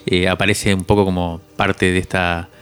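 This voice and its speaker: A man in his forties from Cuba, speaking reflectively as an interviewed author, often halting as he searches for words. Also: low voice, little pitch movement, fairly bright tone, clear, resonant tone.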